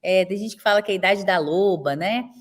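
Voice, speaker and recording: A woman's voice: mid-range voice, brisk. A woman in her forties with a Brazilian accent, teaching a live online class for elder caregivers in a chatty way and often checking in with her viewers. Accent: Brazilian Portuguese. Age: 40s. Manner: chatty, tags nearly every sentence with a question to viewers.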